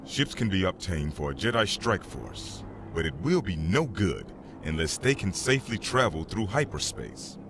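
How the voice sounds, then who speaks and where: A man playing a stern, authoritative Jedi master in a comic dub, deliberate in delivery, with music behind. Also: deep voice, big pitch swings. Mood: commanding. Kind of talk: comic dub acting and narration.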